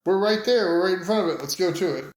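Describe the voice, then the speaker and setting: In a reverberant room, an American man plays a tabletop game. His voice is a little high. He speaks casually as his character. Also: fast. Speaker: player in an actual-play podcast. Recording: echoey room, home studio.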